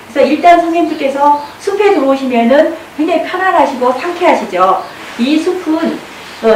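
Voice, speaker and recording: High-voiced woman explaining, very echoey. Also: a little mellow. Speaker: forest school speaker. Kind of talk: explanatory public talk. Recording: very echoey, distant mic, faint noise.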